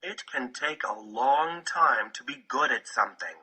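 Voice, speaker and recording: Male picture-book narrator, slow and deliberate, with lively pitch swings. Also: breathy edge, low-quality recording. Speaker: audiobook narrator.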